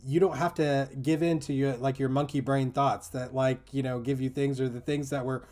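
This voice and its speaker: A man's voice: medium pitch, little pitch movement, moderate pace, darkish tone. A man talking in a casual, reflective discussion, explaining earnestly and often dropping in "you know" and "like". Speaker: teacher in a discussion group.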